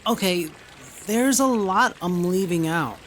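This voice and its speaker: A woman's voice: mid-range voice, animated, slow pace, slight background noise. A woman playing an earnest young heroine in an audio drama, patiently explaining a secret to a friend.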